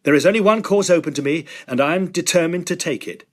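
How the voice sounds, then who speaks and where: A man playing a determined, agitated young gentleman in a Victorian horror drama, speaking earnestly and emphatically in formal phrases. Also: a little high, fast, lightly acted. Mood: grave, resolute.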